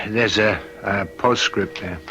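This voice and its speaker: An actor playing a steady, devoted man in a radio drama, plain-spoken and reassuring in short clipped phrases. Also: on the low side, slow, slight background noise, full character voice. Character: steady, devoted man looking after a female singer. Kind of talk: 1970s radio drama acting.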